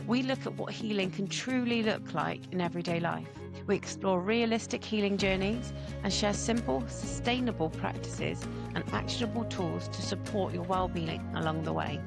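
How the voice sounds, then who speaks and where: A woman's voice: medium pitch, vocal fry. A woman hosting a podcast, reading an intro and asking her guest questions in an earnest way. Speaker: podcast host.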